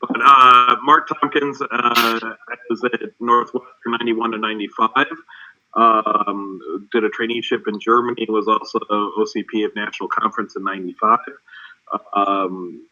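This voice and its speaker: Man in his forties, lowish voice, very bright tone, lo-fi call sound. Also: level tone, moderate pace. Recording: dull lo-fi call audio.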